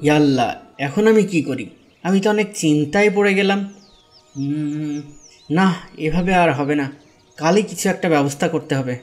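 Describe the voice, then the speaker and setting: A man's voice: a little high, moderate pace, soft background music, natural voice. A man playing a poor, devout young husband in an Islamic cartoon, speaking in an earnest, pleading way. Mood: worried. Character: poor, devout, hard-pressed young husband seeking work. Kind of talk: Islamic moral-tale cartoon dubbing.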